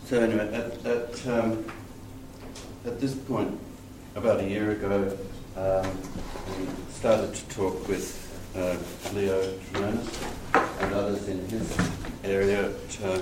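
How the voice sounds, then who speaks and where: A man introducing a colleague at a conference, speaking haltingly with fillers between phrases. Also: lowish voice, level tone, reverberant, far from the mic, background noise. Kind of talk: conference presentation.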